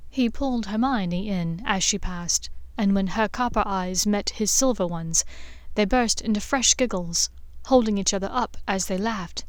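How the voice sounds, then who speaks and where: A woman's voice: medium pitch, moderate pace, hardly any pauses, no echo. Woman narrating an audiobook, very dry sound. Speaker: author and narrator of a fan fiction podcast.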